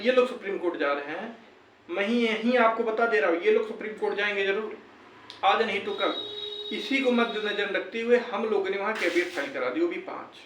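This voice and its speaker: A man's voice: high voice, a bit bright. A man with a North Indian accent from Uttar Pradesh giving an informal, emphatic explanatory update to viewers as a YouTuber. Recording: roomy echo, distant mic, faint noise.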